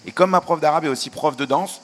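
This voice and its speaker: A man's voice: fairly high pitch, quick pace, fairly bright tone, in a large hall. A middle-aged man, a journalist and author, answering interview questions in a reflective, self-deprecating way.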